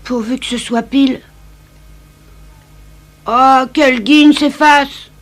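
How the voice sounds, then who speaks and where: Cartoon schoolboy: high-pitched, grumbling, theatrical little schemer. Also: female voice, steady tone, slow. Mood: grumbling, scheming. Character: mischievous young schoolboy, reluctant and scheming. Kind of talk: French dubbed cartoon acting.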